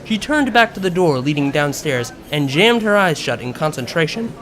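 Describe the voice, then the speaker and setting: A man reading scripted fiction as an expressive narrator, audiobook style. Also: a little high, normal speed. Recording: music behind the voice.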